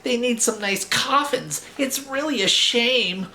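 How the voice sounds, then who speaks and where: A man's voice: very high-pitched, slow, pushed and bright, a little hiss or hum, voice pushed up, exaggerated voice. A man voicing a timid, whiny young vampire boy with dramatic, fretful complaints in a storybook reading. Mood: dismayed whispering.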